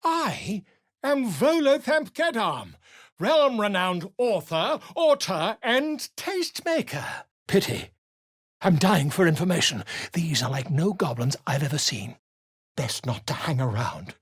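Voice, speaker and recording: Casting: self-important travelling author-bard, fantasy game. Grandiose, florid, highly animated intonation, husky edge. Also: male voice, unhurried, no echo, studio quality, heavily acted. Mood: grandly declaiming, then inquisitive, fussy. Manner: florid, grandiose, wordy delivery. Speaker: video game character actor. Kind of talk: theatrical fantasy game dialogue.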